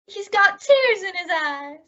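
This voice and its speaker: A woman playing a sweet, dim-witted, soft-hearted young woman in an old-time radio comedy, naive and earnest. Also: very high voice, lively intonation, slow, heavily acted. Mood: pleading. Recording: dull lo-fi audio with clear noise.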